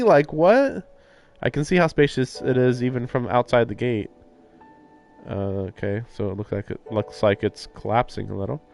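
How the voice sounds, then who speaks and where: A man livestreaming a visual novel, casually reading narration aloud and adding sardonic, incredulous asides. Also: medium pitch. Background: game music.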